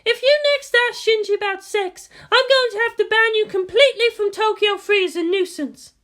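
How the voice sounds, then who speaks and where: A woman voicing a fierce, commanding female military officer in a story reading, with forceful, emphatic delivery. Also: very high-pitched, moderate pace, voice pushed up. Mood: threatening.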